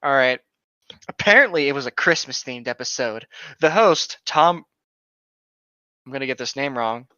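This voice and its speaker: A man's voice: mid-range voice, expressive, normal speed, pauses often, sharp tone, clean tone, no echo. A man co-hosting a casual commentary, chatting and reading aloud, on a slightly dull lo-fi microphone. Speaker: co-host.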